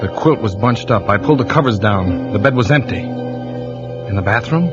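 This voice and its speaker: A worried insurance investigator, voiced by a man, tells his story in a low, uneasy voice, stumbling and laughing nervously. The vintage radio recording is dull and lo-fi, with music clearly audible behind him. It has the feel of a 1940s radio thriller. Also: expressive, normal speed, natural voice. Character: anxious male insurance investigator and husband. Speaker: narrator and lead character. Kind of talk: 1940s radio drama acting.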